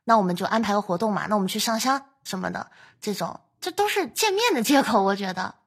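A woman's voice: mid-range voice, lively intonation, fast talker, breathy edge. A young adult woman hosting a casual podcast, telling things in a chatty, reflective way.

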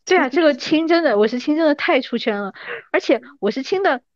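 A woman's voice: sits high, animated, fast, no echo, highs cut off. A woman in her thirties in a casual, teasing chat between old friends. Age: 30s. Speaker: podcast guest.

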